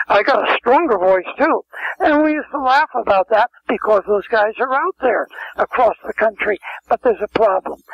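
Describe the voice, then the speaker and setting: Older male interview guest, high gravelly husky voice, rambling anecdotes, muffled lo-fi line. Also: no echo. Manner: rambling anecdotal, frequent restarts and asides. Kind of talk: casual storytelling interview. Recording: muffled lo-fi phone-quality sound.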